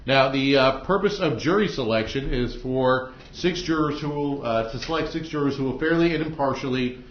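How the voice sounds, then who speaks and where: A man's voice: mid-range voice, a little hiss or hum. Echoey American male judge addressing a courtroom. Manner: formal, halting with many hesitations. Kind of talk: formal courtroom address to jurors. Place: indoor courtroom. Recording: echoey courtroom, dull muffled audio.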